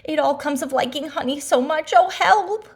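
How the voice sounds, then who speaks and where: A woman's voice: sits high, normal speed, pitched above the performer's own voice. A woman voicing a honey-loving, ponderous male toy bear for a children's storybook, musing and exclaiming emphatically. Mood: flustered. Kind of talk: children's storybook character reading.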